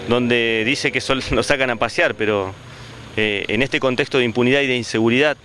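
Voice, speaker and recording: Man in his 40s, union spokesman, bright tense voice, street mic, faint noise. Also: medium pitch. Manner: denouncing, measured. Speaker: union leader. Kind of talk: press statement. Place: outdoors on a town street. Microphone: handheld reporter mic.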